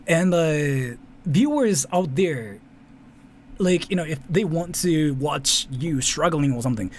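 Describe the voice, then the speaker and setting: A man's voice: on the high side, a little mellow, a little hiss or hum. A man from Japan, a native Japanese speaker, giving casual, rambling off-the-cuff commentary as a YouTuber, often repeating himself and checking in with short tags.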